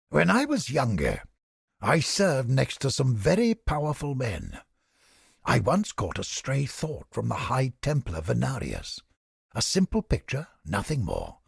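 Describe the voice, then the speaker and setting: A fanatical, paranoid male inquisitor from a fantasy video game. His voice is thick with gravel and very husky, and it swings up and down as he lectures with smug, theatrical condescension. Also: on the high side, dry recording, studio quality, heavily acted. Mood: musing, secretive. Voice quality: gravelly, raspy. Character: zealous, paranoid ex-Templar interrogator, smug skeptic of gods. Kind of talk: video game character acting.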